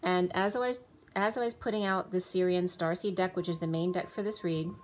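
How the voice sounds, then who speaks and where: A woman with a slightly low voice talks through a tarot spread in a loose, rambling way, full of restarts. Her voice is wearing thin and a little hoarse. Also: fairly even intonation, brisk, slight background noise. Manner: rambling, many restarts and fillers, reads off card names and times. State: losing her voice. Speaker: tarot reader. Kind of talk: casual spoken tarot reading. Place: indoors, room with a table of spread cards.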